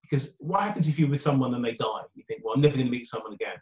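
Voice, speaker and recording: A British man in a dating coach interview, with a loud voice and an upbeat, chatty way of talking. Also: mid-range voice, lively intonation, racing. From United Kingdom. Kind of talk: conversational interview answers. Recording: roomy, dull remote audio.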